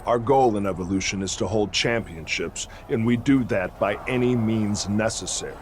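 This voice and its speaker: A man playing a blunt, low-voiced veteran wrestler. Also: moderate pace, slight background noise, natural voice. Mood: firm. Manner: authoritative, blunt. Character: stern, commanding veteran male wrestler leading a faction. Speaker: wrestler, leader of a stable. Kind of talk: video game cutscene acting.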